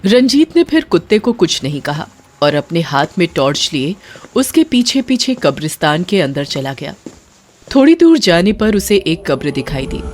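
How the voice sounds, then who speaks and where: बहुत भावपूर्ण महिला कथावाचक. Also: female voice, fairly low pitch, sing-song, normal speed, darkish tone. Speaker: narrator. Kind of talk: dramatic scripted horror story narration.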